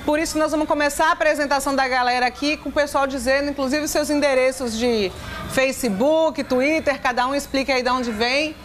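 Brazilian woman hosting a panel outdoors, high voice, bit of noise behind. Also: a bit bright. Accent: Brazilian Portuguese. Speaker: host. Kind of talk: casual panel moderation.

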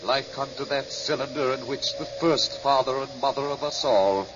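An actor playing the solemn last survivor of a peaceful race in a 1950s radio drama, reverent and archaic in delivery. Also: male voice, mid-range voice, normal speed, noisy background, lightly acted. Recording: muffled old radio recording with music.

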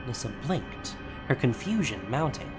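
A man narrating a fantasy audio drama in a steady, solemn storytelling voice. His pitch sits in a middle range, and music plays clearly beneath him. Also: unhurried.

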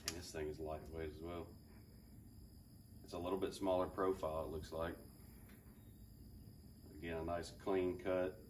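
A man in his thirties with a low voice talks through gear in a relaxed, eager way, with plenty of fillers. He is picked up by a camera several feet off in a roomy open garage. A faint hiss of ambience sits under him. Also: moderate pace, pauses often, distant mic. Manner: relaxed, enthusiastic, thinks aloud with fillers. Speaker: fishing channel host. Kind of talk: casual product review. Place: large open garage with a boat. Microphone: camera several feet away.

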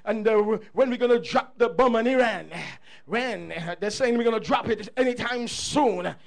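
A man preaching a call-and-response sermon in church, exhorting and repeating himself, with halting, stretched-out phrases. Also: very high voice, vocal fry. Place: church service before a congregation.

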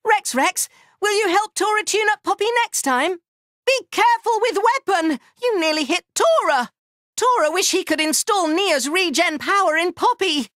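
A tiny, round furry inventor creature from a video game, with an extremely high, squeaky voice. It is proud and excitable, with bright, forceful delivery and childlike broken grammar. The recording is close and dry. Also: male voice, moderate pace, no room sound, exaggerated voice. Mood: excitable, chatty. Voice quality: squeaky, cartoonish. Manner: childlike broken grammar, third-person self-reference. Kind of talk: video game character voice lines.

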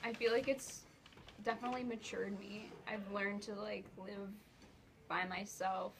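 A woman's voice: mid-range voice, flat delivery, unhurried. Casual eighteen-year-old woman, noisy room. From Ithaca, New York. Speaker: interviewee. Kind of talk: casual interview answers.

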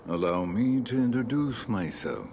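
Suave, lively scheming sorcerer. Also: male voice, fairly low pitch, moderate pace. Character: smooth, courtly, scheming male sorcerer. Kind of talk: video game villain lines. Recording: game ambience noise behind.

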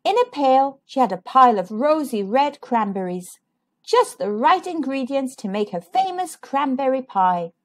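A woman reads to young children in a high voice. Her pitch swings widely, and she leans into key words with a sing-song, drawn-out emphasis. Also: sing-song. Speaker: children's storybook reader, channel host. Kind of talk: expressive read-aloud storytelling for young children.

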